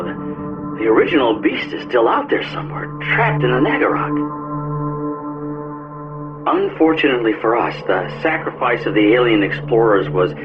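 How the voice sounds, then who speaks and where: A man acts a grave military scientist explaining findings in a sci-fi game. His delivery is measured but moves widely in pitch. The sound is band-limited, with clear music underneath. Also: muffled recording, lightly acted. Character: male military scientist soberly reporting alien findings. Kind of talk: dramatic sci-fi game briefing.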